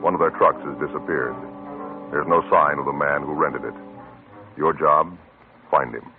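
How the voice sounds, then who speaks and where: A man's voice: a bass voice, steady tone, normal speed, phone-quality sound, soft background music. A man announcing formally on an old radio broadcast with an emphatic, declamatory delivery.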